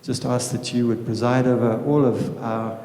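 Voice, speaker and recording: A man's voice: medium pitch, little pitch movement, moderate pace, dark tone. A man speaking informally as a teacher opening a panel evening, addressing a room and praying. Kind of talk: informal welcome speech and spoken prayer. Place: indoor room with small audience. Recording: echoey reverberant room.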